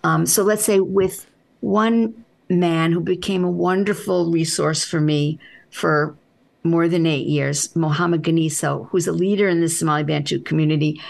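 A woman author with a soft voice, answering interview questions in an unhurried, reflective way with halting restarts. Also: lowish voice, steady tone, vocal fry.

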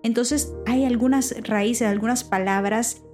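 A woman who preaches, with Spanish as her first language, telling a personal story in a lively, halting way with many restarts. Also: a little high, soft background music. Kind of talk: personal testimony, casual talk.

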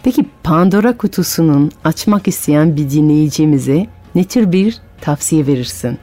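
A woman's voice: low voice, lively intonation, normal speed, dark tone. Woman hosting a radio show. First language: French. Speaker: radio host and storyteller. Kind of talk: radio interview. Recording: music bed with long hall reverb.

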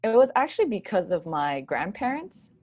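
A young woman from Hawaii, a graduate student, talking in a friendly, relaxed way as a guest over a video call. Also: mid-range voice, normal speed, no room sound.

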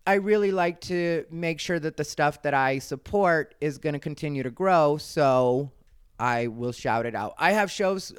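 American man, very smooth clear voice, dry close sound, casual vlog chat, rambling and emphatic. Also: on the high side, no room sound. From Arizona, United States. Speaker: comedian and show host.

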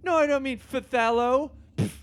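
A man's voice: very high voice, unhurried. A man playing a vain, self-pitying teenage boy superhero in a comedy sketch, talking in a pompous, oblivious way. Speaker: Bruce Wayne Jr.

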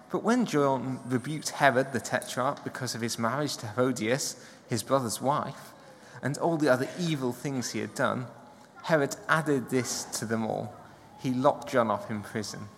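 A man reading a Bible passage aloud in a steady manner, lifting his voice for quoted speakers. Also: mid-range voice. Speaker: Bible reader. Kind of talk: scripture reading. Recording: long church reverb, faint background noise.

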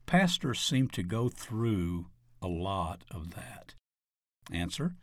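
A man narrating a scripted question-and-answer article, reading deliberately and announcing each part as an article reader. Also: sits low, very animated, no echo, studio quality.

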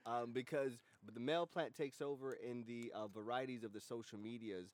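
A man's voice: medium pitch, a little fast. An American man hosting a casual comedy podcast, chatting in a slangy, profane, irreverent way. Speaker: podcast host.

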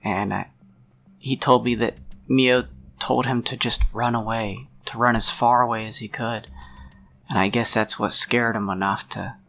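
A man playing a troubled, protective friend of a missing woman in a role-play drama, speaking in a halting, deliberate, sincere way with a gravelly voice. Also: medium pitch, fairly even intonation, a bit bright, a little hiss or hum. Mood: uneasy, recounting. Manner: halting, deliberate, pausing mid-sentence. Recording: music under the voice.

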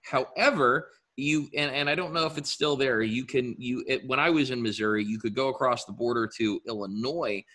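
Bright-voiced man with dry asides. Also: mid-range voice, a little fast, hardly any pauses. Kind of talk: casual chat.

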